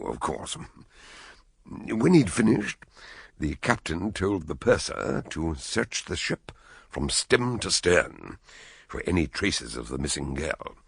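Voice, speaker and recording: An actor playing a genial, chuckling detective who also narrates a radio drama, with a gravelly, husky voice. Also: male voice, extremely low pitch, exaggerated voice. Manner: genial, chuckling, fond of long words. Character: Dr Gideon Fell, jovial older male detective narrator.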